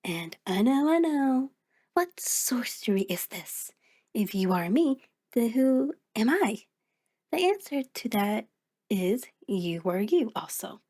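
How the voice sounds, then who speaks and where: A woman's voice: fairly high pitch, very animated, slow, warm tone, husky, full character voice. A woman voicing a sentient mirror reflection in an ASMR audio roleplay, speaking directly to the listener in a conversational, hesitant, explanatory way. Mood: wry, explanatory. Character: sentient female mirror reflection, sheepish, chatty, patient explainer.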